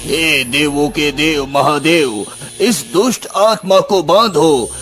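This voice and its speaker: A man's voice: on the high side, slow, fairly bright tone, voice pushed up. A man voicing a solemn, commanding holy sage with divine powers in a cartoon fairytale, speaking in declamatory invocations. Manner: declamatory, invoking.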